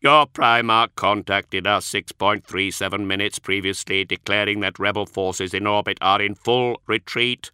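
A man voicing a cold, formal male tech priest whose synthetic voice is harsh and atonal, spoken slowly and precisely. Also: fairly low pitch, fairly even intonation, pushed and bright, clean tone, no room sound, studio quality. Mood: measured. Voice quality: harsh, atonal, strangely inflected. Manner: slow, clipped, precise delivery.

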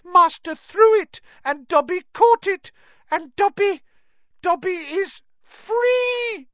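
Tiny devoted house-elf, squeaky high, shrill, emphatic storybook creature. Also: male voice, expressive, takes it slowly, fairly bright tone, no room sound, muffled recording, exaggerated voice. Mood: elated. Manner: speaks of self in third person, emphatic. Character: small male house-elf servant, fervent and devoted.

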